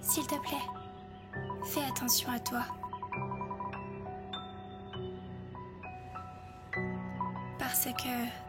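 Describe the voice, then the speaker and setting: A woman playing an earnest, tender-hearted child who worries and pleads, in an animated fan dub. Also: high-pitched, slow. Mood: pleading. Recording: loud music behind.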